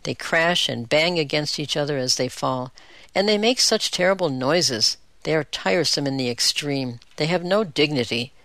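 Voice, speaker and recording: A woman's voice: sits low, lively intonation, normal speed, a bit bright, dry recording. A woman voicing a proud female cat who speaks of humans with scorn. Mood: disdainful. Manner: haughty, scornful. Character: aloof, disdainful female marmalade cat.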